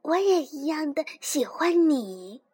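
A woman voicing a shy, gentle bunny boy. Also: high-pitched, very animated, slow, heavily acted. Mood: tender.

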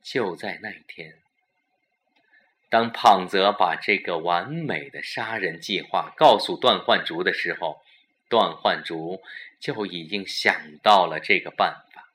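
A man's voice: low-pitched, slow, a bit bright. A man narrating a suspense audio story in a dramatic storytelling style.

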